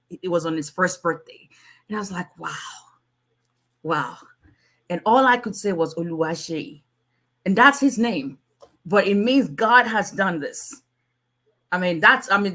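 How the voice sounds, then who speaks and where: Strong-voiced woman, bright and forceful. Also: lowish voice. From Nigeria. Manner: strong voice, chatty, with many restarts. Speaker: immigration attorney. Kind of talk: casual livestream Q&A.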